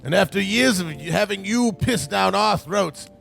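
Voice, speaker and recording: A scornful old miser villain, extremely creaky and swooping in pitch. He sneers pompously in a parody radio play, with music faintly behind. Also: lively intonation, normal speed, a bit bright, exaggerated voice. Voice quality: creaky, gravelly.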